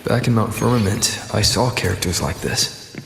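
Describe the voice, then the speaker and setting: A reflective traveller hero from a video game. His fairly low voice has plenty of gravelly fry and a thoughtful, low-key delivery. The sound is echoey. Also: normal speed. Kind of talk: scripted video game dialogue. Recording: roomy echo, clear background noise.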